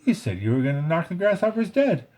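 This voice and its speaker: A man voicing an eager, impulsive young ant in a storybook, excitable and emphatic. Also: a little high, quick pace, pitched above the performer's own voice. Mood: shocked.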